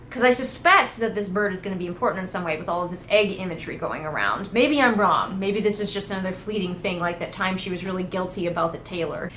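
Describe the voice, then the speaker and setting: A woman's voice: mid-range voice, fairly bright tone, reverberant, slight background noise. A woman giving casual, comedic book commentary as a host, in a sarcastic, sweary, conversational manner. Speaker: book critic and host of a readalong.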